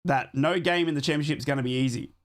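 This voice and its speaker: A man's voice: on the high side, fast. A man hosting a live football watchalong, chatting casually, reading out live scores and thanking viewers for donations, a bit sick and losing his voice.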